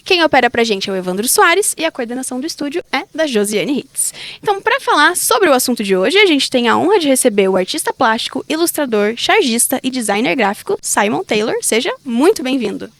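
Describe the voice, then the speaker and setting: A woman's voice: high-pitched, very animated, fairly quick, hardly any pauses, no room sound. A woman hosting an interview podcast as a reporter, opening the show and asking questions in a studio.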